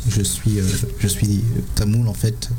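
A man in his thirties answering interview questions spontaneously, haltingly and searching for words. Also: lowish voice, flat delivery. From Sri Lanka. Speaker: interviewed musician and music teacher.

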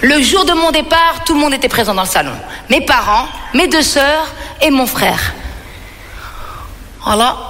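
A woman's voice: high-pitched, lively intonation, measured pace, pushed and bright, slight background noise. A woman in her thirties from Belgium doing a punchy, self-mocking stand-up set in a reverberant hall. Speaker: stand-up comedian.

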